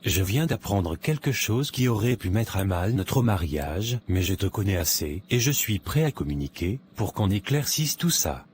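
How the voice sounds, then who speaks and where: A man's voice: on the low side, sing-song, moderate pace, hardly any pauses, a little mellow. A man playing an earnest, conciliatory husband in a cartoon moral drama, with faint background noise. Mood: serious. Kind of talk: animated moral drama dialogue.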